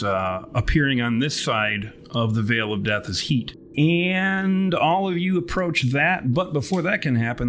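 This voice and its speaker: A male game master delivers dramatic tabletop narration with florid, theatrical phrasing. His voice sits at an average pitch for a man, with some noise behind it. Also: a bit bright. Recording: noticeable background noise.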